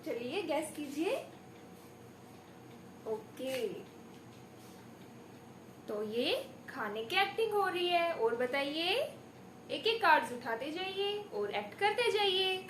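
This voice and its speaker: Female primary teacher, animated melodic delivery, theatrical classroom storytelling, recorded in an echoey, slightly noisy room. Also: very high voice. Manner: theatrical, rhetorical questions, deliberate emphasis. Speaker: primary school teacher giving a demo lesson. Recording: echoey room with background noise.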